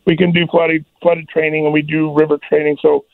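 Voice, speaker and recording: A man's voice: fairly high pitch, monotone, a little fast, gravelly, dry recording. A man answering an interviewer off the cuff as a fire official, in a dull band-limited clip. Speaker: assistant fire chief.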